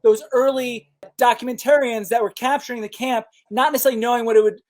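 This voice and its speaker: A man's voice: very high-pitched, fairly even intonation, a little mellow. A man hosting a casual film review podcast, leading the discussion and moving it along. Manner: brisk, leads the discussion. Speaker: podcast host.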